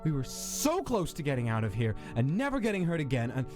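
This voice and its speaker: A man voicing a protective older skeleton brother in a fan comic dub, talking softly and reassuringly. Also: wildly swooping pitch, fast, music in the background. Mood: bitter. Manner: soft, reassuring, low-key.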